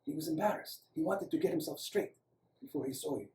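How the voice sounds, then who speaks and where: A man voicing a gentle, courteous older male doctor with an Eastern European accent in a story, speaking hesitantly. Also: on the high side, fairly quick, close to an everyday voice. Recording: roomy, echoing, distant microphone.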